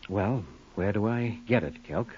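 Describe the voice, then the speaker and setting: An American actor playing a composed, menacing underworld tough with wry mock courtesy in an old radio crime drama. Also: male voice, fairly low pitch, measured pace, mellow, a little hiss or hum, lightly acted. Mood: wary, composed. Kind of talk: 1940s-50s radio crime drama acting. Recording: dull old-time radio recording.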